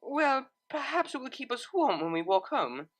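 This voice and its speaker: A woman's voice: sits high, big pitch swings, normal speed, pitched above the performer's own voice. A woman voicing a refined, shy young female pony cellist with a proper, formal and hesitant manner. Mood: flustered. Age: young adult.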